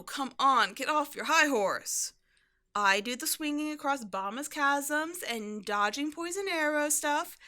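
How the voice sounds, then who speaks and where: A woman's voice: high-pitched, sharp tone, exaggerated voice. A woman acting a brash, cheeky tomboy archaeologist in a roleplay audio drama, bantering with the listener with defensive bravado. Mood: cheeky, indignant. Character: brash tomboy adventurer archaeologist, cocky, flustered, secretly sweet.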